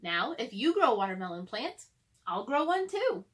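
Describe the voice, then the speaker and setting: A woman's voice: on the high side, very animated, moderate pace, fairly bright tone. A woman voicing a small, tidy boy mouse in a storybook read-aloud, speaking in a plain, matter-of-fact manner.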